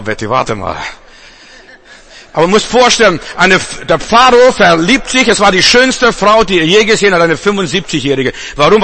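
A man's voice: on the high side, pushed and bright. A male preacher giving a sermon to a congregation, speaking emphatically with repetitions, direct address and frequent restarts. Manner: stumbles and restarts. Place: church congregation.

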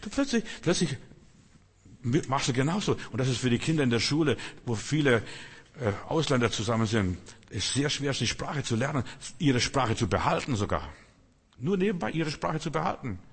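Casting: non-native male preacher, husky voice, chatty delivery, echoey church hall. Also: medium pitch, very animated, moderate pace. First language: not German. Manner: conversational preaching, frequent "verstehst du?" asides. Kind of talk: sermon.

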